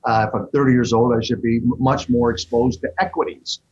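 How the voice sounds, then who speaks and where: A man being interviewed, explaining investments in quick, animated bursts with frequent fillers. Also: mid-range voice, husky, highs cut off. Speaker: fund manager guest. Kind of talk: interview answers.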